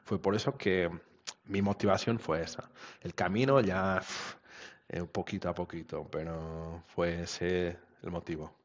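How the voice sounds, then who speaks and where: A low-pitched man talks through his answers in an interview, restarting phrases and checking in with little tag questions. His voice comes over a video call with dull, lo-fi sound. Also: steady tone, a little slow. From Portugal. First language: Portuguese. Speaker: guest, web standards expert.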